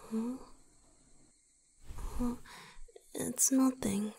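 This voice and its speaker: A woman voicing a gentle, insecure young male vampire boyfriend in a whispered, hesitant romantic ASMR roleplay. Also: on the high side, fairly even intonation, exaggerated voice. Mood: wistful, self-doubting. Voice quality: breathy whisper with some creak. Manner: hesitant, tender, halting.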